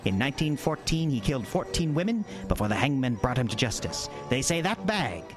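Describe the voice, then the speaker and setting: A man's voice: mid-range voice, big pitch swings, brisk, close to an everyday voice. An actor playing an old male carnival game barker with sing-song patter in a horror radio drama. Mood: ominous. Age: older. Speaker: booth attendant. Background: music score.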